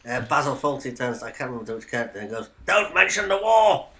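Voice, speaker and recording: A man's voice: medium pitch, big pitch swings, fast talker, a bit bright. A man co-hosting a podcast in casual chat. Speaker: podcast co-host.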